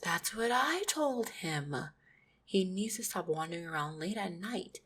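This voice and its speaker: A woman voicing an affectionate, supportive orc fiancée in an intimate roleplay audio, speaking tenderly and reassuringly with gentle teasing, often close to a whisper. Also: lowish voice, expressive, measured pace, full character voice. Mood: fond scolding. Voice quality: breathy, husky, often near-whispered.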